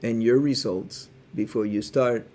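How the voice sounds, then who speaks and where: Male podcast co-host from Cuba, mid pitch, earnest and opinionated, hedges his claims. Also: steady tone, measured pace, mellow. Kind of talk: casual podcast chat.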